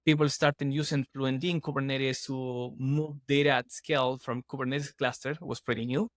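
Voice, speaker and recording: A man's voice: medium pitch, flat delivery, moderate pace, dry recording. A man from Chile speaks English with an earnest, explaining manner, often restarting his sentences. His voice is recorded dry and close on a podcast microphone. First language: Spanish. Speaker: guest, startup CEO. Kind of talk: casual podcast interview.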